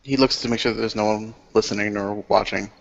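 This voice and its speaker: A man's voice: on the low side, steady tone, quick pace, gravelly, muffled recording. A man casually narrating and explaining his character in a tabletop roleplaying game.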